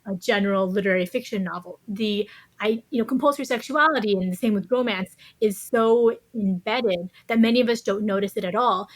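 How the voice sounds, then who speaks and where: A woman's voice: medium pitch, no echo, rough recording. A woman giving reflective, explanatory answers as an author in an interview, often adding "you know" as she talks. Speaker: podcast guest, author. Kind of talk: thoughtful interview conversation.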